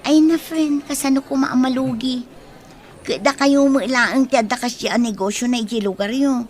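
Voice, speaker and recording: Woman acting gossipy village friend, Ilocano accent, highish voice, exclamatory, incredulous, radio comedy drama. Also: steady tone, normal speed, a little mellow, lightly acted. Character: chatty, outspoken village woman friend, easily astonished.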